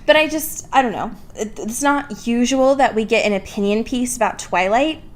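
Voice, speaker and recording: Young woman in her early twenties, higher-pitched voice, casual podcast co-host, wry, self-deprecating, informal. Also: animated, normal speed. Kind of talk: casual chatty book discussion.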